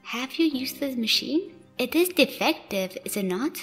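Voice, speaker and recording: A woman's voice: sits high, lively intonation, normal speed, fairly bright tone. A woman playing a reserved, blunt young woman rancher with a terse, straight-faced manner in a radio play. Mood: deadpan. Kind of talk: radio play character dialogue. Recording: music playing behind. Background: clear background music.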